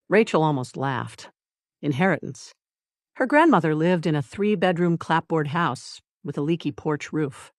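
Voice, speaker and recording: A narrator reading a dramatic scripted story in a deliberate way, with pauses and short emphatic phrases. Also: medium pitch, dry recording, clean studio sound.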